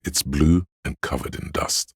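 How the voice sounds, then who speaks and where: A man voicing a brooding, omniscient narrator of weary police life in a detective game, with measured, grave, literary delivery and a gravelly, husky voice. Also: extremely low pitch, no room sound, clean studio sound, exaggerated voice. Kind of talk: dramatic literary scripted narration.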